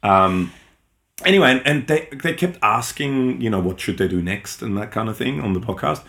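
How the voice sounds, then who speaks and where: A man's voice: lowish voice, lively intonation, normal speed, vocal fry, fairly bright tone. A middle-aged man who grew up in Austria with German as his first language, co-hosting a podcast in casual, rambling chat full of ums and restarts. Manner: rambling, many ums and self-corrections. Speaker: podcast co-host.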